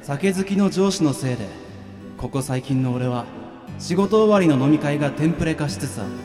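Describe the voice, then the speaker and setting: A man's voice: fairly high pitch, animated, brisk, lightly acted. A man playing a world-weary office worker who narrates his inner thoughts in a radio drama, wry and resigned. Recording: reverberant voice over music.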